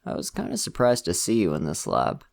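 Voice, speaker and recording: A man's voice: low-pitched, huge pitch swings, fairly quick, gravelly, heavily acted. A young man voicing a friendly, slightly shy college student in an ASMR roleplay, talking casually and laughing often. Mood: easygoing chatty. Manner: casual, laughs often, soft-spoken and chatty.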